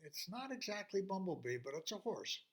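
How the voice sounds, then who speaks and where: A man voicing a gentle, reassuring little guide who gives calm instructions. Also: high voice, fairly quick, pitched above the performer's own voice. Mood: instructing.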